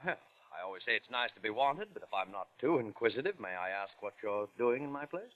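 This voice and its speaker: A man's voice: on the low side, big pitch swings, fairly quick. An actor playing a dry, unflappable, urbane man with wry puzzlement, in old-time radio suspense style. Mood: wry, puzzled. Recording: muffled old radio recording.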